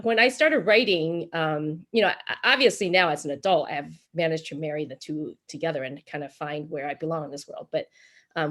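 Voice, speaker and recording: A woman with an American accent giving informal, chatty interview answers as a guest novelist, often saying "like" and "you know". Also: deep voice. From born in Taiwan, moved to the United States at seven.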